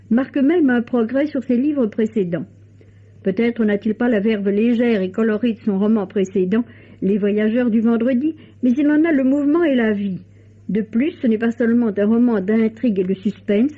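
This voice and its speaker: A woman reading a scripted book review for radio in a precise, formal way. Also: on the high side. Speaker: book critic. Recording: tinny old radio recording.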